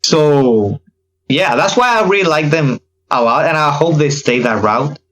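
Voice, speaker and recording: Animated man with a higher-pitched voice. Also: measured pace, poor audio. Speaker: podcast guest, K-pop reaction video creator. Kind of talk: casual podcast chat.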